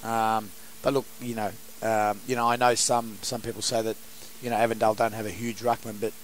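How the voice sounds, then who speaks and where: A hoarse man, a football coach answering post-match questions in a halting way. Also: fairly low pitch, flat delivery, a little hiss or hum, rough recording. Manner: halting, many restarts and fillers. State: hoarse, has lost his voice.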